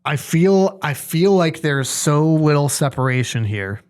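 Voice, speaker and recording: Male sports co-host, slightly high voice, conversational, weighing his opinions aloud with some hesitation. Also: expressive, darkish tone, clean tone. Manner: hesitant, thinking aloud, measured. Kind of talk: casual sports discussion.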